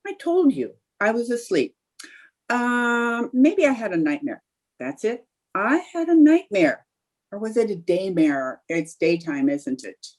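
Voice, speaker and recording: A woman's voice: a little high, sing-song, measured pace, darkish tone. Woman playing a sly, swooping, scatterbrained housewife. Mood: evasive, reassuring. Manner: theatrical, feigned innocence. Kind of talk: old-time radio comedy acting.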